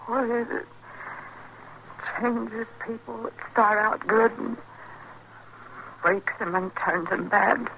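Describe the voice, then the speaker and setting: An actress playing a gentle, frightened young frontier wife in an old American radio drama, halting and plaintive. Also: female voice, on the high side. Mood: bitter, weary. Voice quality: halting, stammering, plaintive delivery. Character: battered frontier wife, gentle, wistful, afraid, about twenty-five. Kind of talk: 1940s-50s radio drama acting. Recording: bright, noisy old radio recording.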